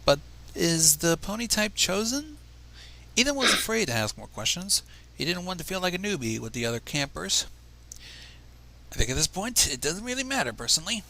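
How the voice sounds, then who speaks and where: A man reading a story aloud on a livestream in an expressive, informal, theatrical and irreverent way. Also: sits high, normal speed, gravelly, rough recording. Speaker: fan fiction reader on a livestream.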